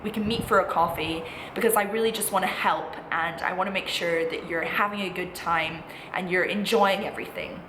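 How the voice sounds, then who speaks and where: A woman's voice: on the low side, steady tone, a little fast, hardly any pauses. A woman in her twenties, a student vlogger, giving chatty, encouraging, emphatic advice straight to viewers in a casual style. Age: 20s. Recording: echoey room with clear background noise.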